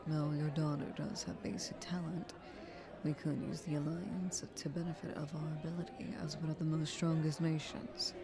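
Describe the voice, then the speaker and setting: A woman playing a dignified, protective queen mother in an audio roleplay, with a measured, formal, regal delivery. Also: sits low, little pitch movement, crowd noise. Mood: guarded, measured.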